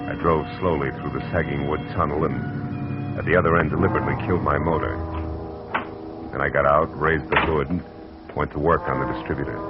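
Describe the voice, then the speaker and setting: A man playing a wry, hard-boiled private eye in a 1940s radio drama, who also narrates, on a muffled old recording. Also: extremely low pitch. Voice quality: dry, wry. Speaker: narrator and lead.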